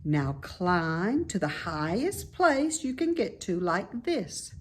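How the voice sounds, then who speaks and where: Wise storybook spider, female, acted by a woman: slow, deliberate, patient, matter-of-fact tutor. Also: lowish voice, very animated, breathy edge, full character voice. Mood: coaching, kindly. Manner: measured, precise, instructive.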